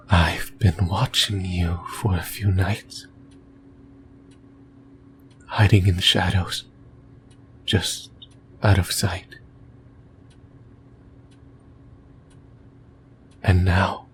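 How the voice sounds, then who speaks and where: A lonely, fixated male sleep paralysis demon , acted by a man, whispers in a low, breathy voice. He speaks very slowly and haltingly, intimate and rambling, as in an ASMR horror roleplay. Noticeable noise sits behind the voice. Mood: shy, fixated.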